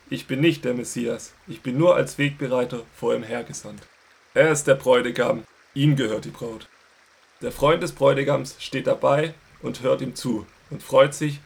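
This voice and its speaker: A humble but fervent prophet in a biblical audio drama. His voice is gravelly and husky, at an average male pitch. He delivers solemn declarations. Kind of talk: dramatized scripture audio play.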